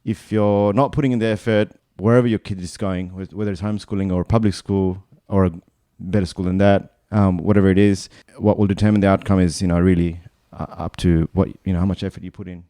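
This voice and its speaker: A man's voice: low voice, fairly even intonation, a little fast, hardly any pauses, warm tone, dry recording, studio quality. A man in his thirties from Bangladesh, a podcast guest talking casually in a thoughtful, meandering way that often circles back and corrects itself. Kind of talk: casual conversation.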